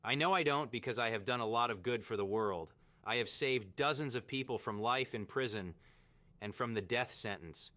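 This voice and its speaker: A man's voice: medium pitch, normal speed, pushed and bright, no room sound, lightly acted. A man playing a self-assured, insistent lawyer in an audio horror drama. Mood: cocky, defensive. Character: cocky, indignant male defense lawyer arguing his case.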